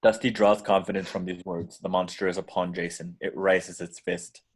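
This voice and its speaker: A man's voice: low-pitched, level tone, moderate pace, breathy edge. A man reading out the action descriptions as scripted narration in a table read.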